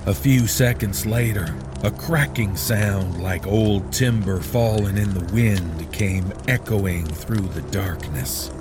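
A man with a lowish voice narrates a horror story slowly and gravely, letting each ominous line sink in. Music plays steadily underneath his reading. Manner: measured, grave, ominous delivery. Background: background music.

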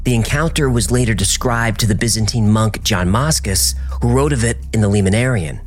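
A man narrating a scripted documentary, telling the story with a dramatic delivery and wide swings in pitch. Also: fairly quick, soft background music.

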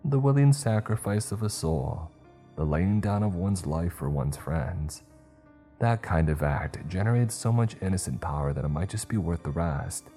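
A man's voice: deep voice, animated, normal speed, dark tone, soft background music. A man voicing a boastful, theatrical cult witch who shrieks and rambles. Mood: musing. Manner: shrieking, chatty villain.

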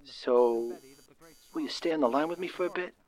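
A man's voice: fairly high pitch, normal speed, darkish tone, natural voice, poor audio. A man playing a lonely, frightened caller in an old-time radio drama, stammering and hesitant as he pleads. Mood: desperate, pleading. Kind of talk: 1940s radio drama acting.

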